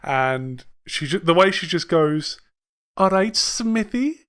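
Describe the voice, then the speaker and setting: A man's voice: fairly high pitch, expressive, slow, fairly bright tone, smooth. A young man co-hosting a podcast, recapping a sitcom in loose, rambling casual chat, swearing and laughing often. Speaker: podcast co-host.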